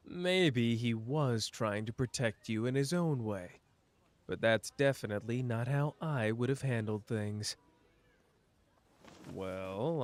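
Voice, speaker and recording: A sharp, teasing young man, played by a male actor, in an intimate listener roleplay. He speaks close and low-key in a mid-pitched voice, dry and quick-witted, with a protective streak under the mockery. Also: no echo. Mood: wry, then reassuring.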